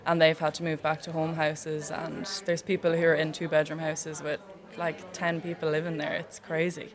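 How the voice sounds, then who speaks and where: A woman's voice: low-pitched, monotone, moderate pace, crowd noise. A young Irish woman, a student, talking earnestly and chattily about living costs. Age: young adult. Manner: earnest, chatty, uses "like" often. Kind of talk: casual interview comments.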